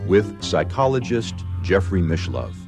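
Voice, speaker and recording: Deep-voiced man announcing over loud music. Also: very animated, a little slow. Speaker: show announcer. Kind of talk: scripted show opening.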